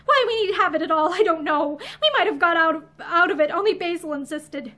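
A woman's voice: very high voice, brisk, pushed and bright. A woman voicing an earnest, tearful young woman in a story, outspoken, with an emotional, pleading delivery. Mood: upset.

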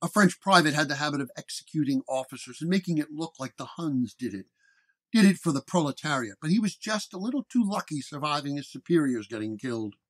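A man playing a terse, self-assured 1930s paranormal detective in an audio story, speaking flatly and deliberately. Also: a little high. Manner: flat, deliberate.

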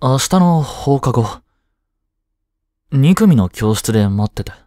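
Man playing a jealous teenage boy in love, casual and hesitant, unhurried pace, widely varied intonation, romantic audio drama. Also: medium pitch, lively intonation, mellow, no room sound, clean studio sound. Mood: teasing, then resolute. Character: lovestruck, jealous teenage schoolboy childhood friend. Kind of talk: Japanese romantic voice drama acting.

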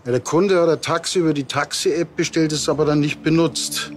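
A man's voice: fairly high pitch, steady tone, fairly quick, faint music behind, close to an everyday voice. An actor playing a blunt, insistent male taxi driver in a TV drama. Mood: matter-of-fact.

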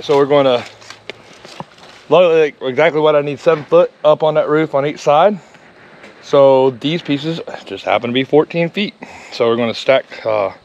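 A man's voice: mid-range voice, darkish tone. Man, 40s, casual, dry humor, outdoors, faint background noise. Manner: easygoing, dry joking. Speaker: homesteader, channel host. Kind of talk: casual chat while working. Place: outdoors on a rural homestead. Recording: dull lo-fi sound with faint noise.

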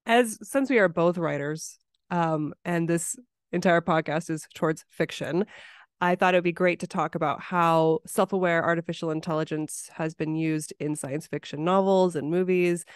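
A woman's voice: lowish voice, moderate pace, clear, resonant tone, dry recording, studio quality. A woman hosting a podcast, speaking conversationally as she interviews a guest. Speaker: podcast host.

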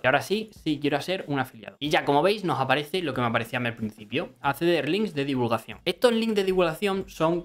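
A young man walks the listener through a screen tutorial. His voice sits a bit high for a man, and he talks in a quick, direct way, as if sitting right across you. He is close to the mic in a small room. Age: young adult. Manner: brisk, direct, addresses viewer informally. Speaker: online tutorial host. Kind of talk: screen-recorded tutorial explanation. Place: small bedroom studio with blue lighting.

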